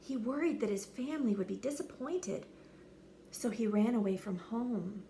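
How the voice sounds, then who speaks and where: A woman's voice: fairly high pitch, normal speed, mellow, a little hiss or hum. A woman reading a children's story aloud with dramatic, theatrical inflection. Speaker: storybook reader. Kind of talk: expressive scripted story narration for children.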